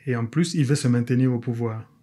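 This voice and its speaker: A man from Congo, a guest in an interview, answering firmly with halting restarts. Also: medium pitch, mellow. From Democratic Republic of the Congo.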